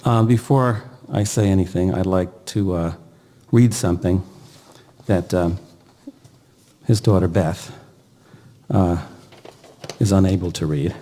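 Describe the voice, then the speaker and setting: An older man delivering a eulogy, partly read aloud, halting and pausing between short phrases. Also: deep voice, steady tone, warm tone. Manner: halting, with pauses. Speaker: eulogist, brother of the deceased.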